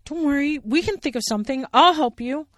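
A woman's voice: sits high, expressive, a little fast, no room sound, studio quality, pitched above the performer's own voice. A woman voicing a laid-back, sarcastic young male bear in a storybook play. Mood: helpful. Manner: offhand and sarcastic.

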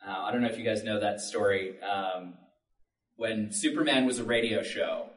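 A man's voice: fairly low pitch, moderate pace. A man speaking informally and explaining things on a panel at a public event. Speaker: podcast writer and panelist. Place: public library panel room. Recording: roomy, distant microphone.

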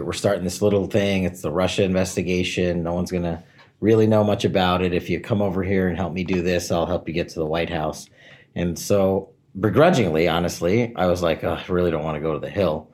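Low-voiced man telling his career story. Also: steady tone, brisk, darkish tone. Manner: candid, self-deprecating, laughs at his own plans. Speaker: interview guest. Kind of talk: relaxed conversational storytelling.

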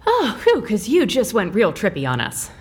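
A woman's voice: medium pitch, wildly swooping pitch, normal speed, husky. An actress playing a brash, sceptical woman in an audio drama, blunt and slangy. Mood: incredulous. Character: brash, sceptical woman facing an eccentric client.

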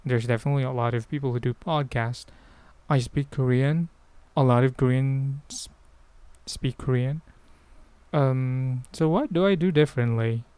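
A man's voice: medium pitch, darkish tone, clear, resonant tone, no room sound. A man with a Filipino accent hosting a casual solo podcast, answering questions in a hesitant, rambling way as he thinks aloud. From Philippines. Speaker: podcast host.

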